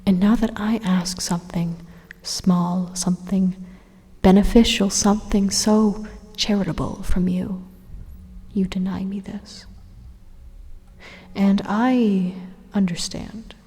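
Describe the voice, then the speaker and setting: A woman voicing a sinister, condescending AI supercomputer in a breathy, half-whispered ASMR monologue, slow, deliberate and menacing. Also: medium pitch, steady tone, warm tone. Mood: wounded sarcasm. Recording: reverberant hall-like echo.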